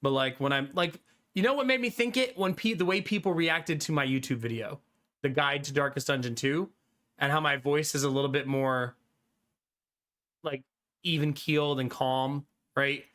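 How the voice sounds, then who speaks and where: A young adult man streaming live, chatting casually with his viewers, animated and talkative, often laughing. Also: fairly high pitch, moderate pace.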